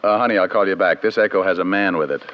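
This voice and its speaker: An actor playing a broke private detective with a flippant, dryly joking manner in old-time radio style. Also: male voice, deep voice, quick pace, natural voice. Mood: wry. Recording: dull vintage radio recording.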